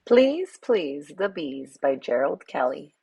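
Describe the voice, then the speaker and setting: Slow, husky woman reading a storybook. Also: low-pitched, sing-song, mellow, no echo. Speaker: read-aloud host, storyteller. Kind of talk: expressive children's picture book reading.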